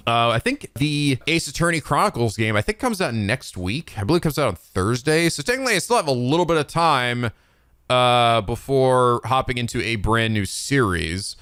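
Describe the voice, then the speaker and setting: A man's voice: mid-range voice, animated, normal speed, fairly bright tone, clear, resonant tone, no room sound. A man hosting a casual reaction commentary on game cutscenes, rambling, sweary and enthusiastic, close on the microphone. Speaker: let's play host reacting to cutscenes.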